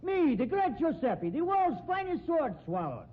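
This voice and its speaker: A self-important Italian sword swallower in a 1950s radio play. His voice is very high and swoops theatrically with wounded pride. The old recording is thin and muffled. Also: big pitch swings, moderate pace. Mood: indignant. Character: vain, aggrieved male Italian sideshow sword swallower. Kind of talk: 1950s radio drama acting.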